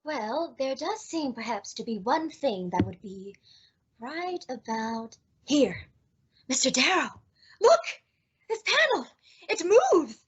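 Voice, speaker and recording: A woman acting an anxious, excitable lady sleuth in a melodramatic old-time radio thriller. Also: high-pitched, slow, breathy edge, lo-fi sound, exaggerated voice. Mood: excited. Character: Margot Lane, anxious young lady sleuth's companion.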